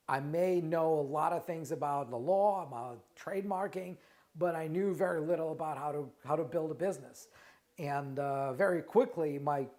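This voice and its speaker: Man, Russian accent, middle-aged, somewhat high voice, live stream host, chatty, restarts a lot. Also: a little mellow. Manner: conversational, many restarts and repeated words, asks "right?". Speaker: trademark lawyer and company founder, show host. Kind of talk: live Q&A stream, casual talk.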